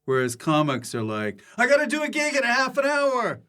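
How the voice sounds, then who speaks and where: A man's voice: very high-pitched, huge pitch swings, brisk, bright, forceful tone. A man hosting a podcast, casually joking with his guest in short quips and questions. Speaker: podcast host.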